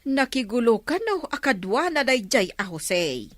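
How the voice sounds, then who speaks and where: A woman playing an excitable, gossipy villager in an Ilocano comedy radio drama. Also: fairly high pitch, a little slow, dry recording, clean studio sound. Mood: alarmed.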